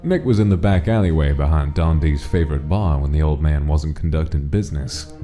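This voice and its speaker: A man's voice: rumbling low, brisk, music in the background. A man performing as the narrator of a comic dub, reading a mafia monster tale as scripted dramatic story narration. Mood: measured, ominous.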